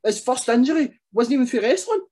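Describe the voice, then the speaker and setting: A man's voice: very high voice, expressive, fairly quick, darkish tone. Glaswegian man with a thick accent. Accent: Glaswegian Scottish. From Glasgow area, Scotland. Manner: blunt, colloquial, uses "aye" and "man". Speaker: podcast guest. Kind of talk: casual chat.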